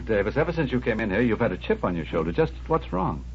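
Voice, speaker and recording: An actor playing a composed, wry doctor with a measured, polite manner in a 1940s-style radio soap. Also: male voice, lowish voice, sing-song, slight background noise. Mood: probing, controlled. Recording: muffled vintage radio drama recording.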